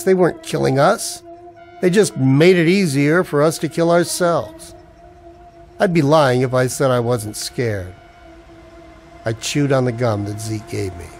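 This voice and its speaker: A man's voice: mid-range voice, darkish tone, natural voice. An actor playing a haunted married man, narrating in a terse, brooding radio-play noir style. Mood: tense, brooding.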